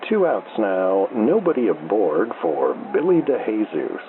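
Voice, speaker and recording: A man playing a calm, laconic small-town baseball announcer doing unhurried play-by-play on the radio. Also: on the low side. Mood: calm, drowsy. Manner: calm, laconic, drowsy, unruffled. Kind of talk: slow minor-league baseball radio play-by-play. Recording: dull noisy AM-style baseball radio broadcast.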